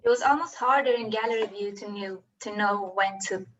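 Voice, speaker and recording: Female workshop participant, medium pitch, casual and reflective, dull video call sound. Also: a little fast, far from the mic, slight background noise. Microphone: computer video call.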